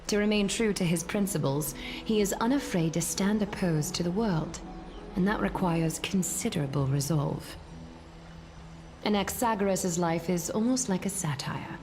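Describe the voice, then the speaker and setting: A woman playing a stern, regal female leader in a fantasy game, formal, measured and resolute. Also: lowish voice, lightly acted. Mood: measured disapproval. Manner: formal, solemn, deliberate. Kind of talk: dramatic fantasy game voice acting. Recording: game music behind voice.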